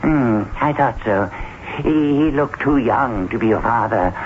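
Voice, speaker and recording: Man acting halting, courteous stranger on muffled old radio. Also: mid-range voice, a little hiss or hum, lightly acted. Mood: earnest, probing. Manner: hesitant, halting, repeats phrases, courteous and persuasive. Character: nervous, flattering, mysterious male borrower, shrewdly observant. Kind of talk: vintage radio drama acting.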